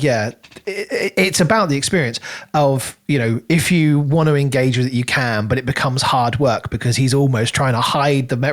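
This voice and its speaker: A man co-hosting a podcast, talking casually and chattily through a book discussion with little self-quoting asides. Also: mid-range voice. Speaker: podcast co-host reviewing books.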